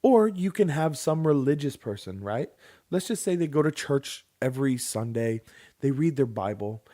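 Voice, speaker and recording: A man's voice: medium pitch, lively intonation, moderate pace, mellow. Rambling male podcast co-host. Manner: rambling, many restarts and fillers. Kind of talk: casual chat.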